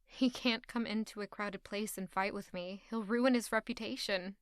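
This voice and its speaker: A woman's voice: mid-range voice, fairly even intonation, normal speed, clear, resonant tone, no echo, studio quality, natural voice. An actress playing a stubborn, guarded young servant woman in a castle audio drama, curt and sarcastic beneath formal politeness. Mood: tense narrating. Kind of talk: audio drama acting with first-person narration.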